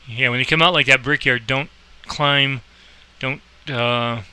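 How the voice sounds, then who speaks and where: Male game tutorial host, informal and rambling. Crisp, very forward tone, little melodic movement, switches into controller phrasing. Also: medium pitch, steady tone, measured pace, bright, forceful tone, rough recording. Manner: informal, rambling, thinking aloud. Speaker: host explaining a game and playing air traffic controller. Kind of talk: casual screen-recorded game tutorial with radio calls.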